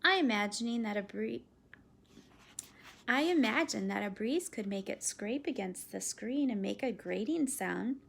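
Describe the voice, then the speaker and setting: A woman voicing a calm, patient father who gently teases his daughter with dry jokes. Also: on the high side, unhurried, gravelly, husky, heavily acted. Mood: gently teasing. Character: calm, teasing father, a lawyer.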